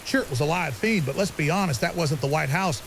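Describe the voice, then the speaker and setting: A man's voice: on the high side, fairly quick, creaky. A man playing a grim, urgent conspiracy theorist as a guest on an apocalypse podcast drama, emphatic and ominous in clipped, certain statements. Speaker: interview guest. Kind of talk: fictional apocalypse podcast interview. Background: rain and faint hiss.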